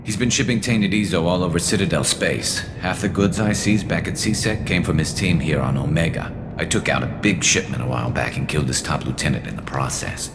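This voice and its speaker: A man voicing a wry, battle-worn alien ex-cop turned vigilante in a video game, with a gravelly, dry, clipped delivery. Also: a little fast, hardly any pauses, heavy background noise. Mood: grim, matter-of-fact. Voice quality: gravelly, very deep. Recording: game audio with music behind.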